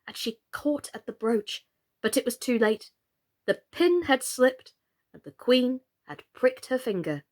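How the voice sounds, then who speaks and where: A woman giving an expressive scripted reading of a story for children. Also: on the high side, measured pace. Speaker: storybook reader, actress.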